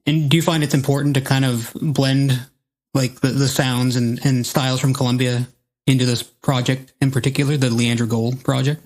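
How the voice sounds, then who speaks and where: A man's voice: mid-range voice, flat delivery, normal speed, darkish tone, low-quality recording. A man hosting a casual interview, asking questions hesitantly and rephrasing them as he goes. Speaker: podcast host.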